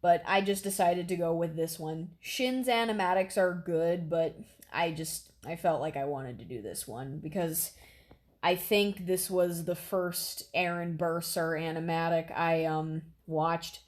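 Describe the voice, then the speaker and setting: A woman's voice: lowish voice, measured pace. A woman hosting a reaction video in casual chat, rambling and explaining as she comments.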